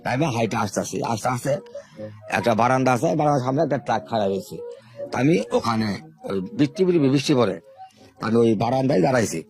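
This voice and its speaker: An older man. He tells his life story to an interviewer at a brisk pace and often repeats his words. Faint music plays under his voice. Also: mid-range voice, expressive, a little mellow. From Bangladesh, Sherpur. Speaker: interview guest.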